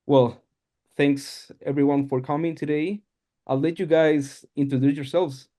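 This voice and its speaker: A man's voice: a little high, fairly even intonation, measured pace, dark tone. A man hosting a podcast, welcoming guests and explaining the program. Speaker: podcast host. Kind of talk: podcast introduction.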